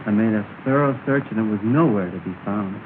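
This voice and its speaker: A man's voice: fairly low pitch, brisk, close to an everyday voice. Man playing a sad polite young gent in love, old 1930s movie, thin crackly hissy sound. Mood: dejected. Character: earnest, dejected young gentleman in love. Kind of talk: 1930s film drama acting. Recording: scratchy, tinny 1930s film soundtrack.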